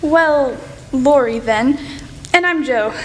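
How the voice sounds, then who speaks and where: A young woman playing an outspoken, excitable teenage girl in a radio play, gushing and rambling bluntly. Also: sits high, slow pace. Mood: chatty, eager. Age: teenager or young adult. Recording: echoey hall, faint noise.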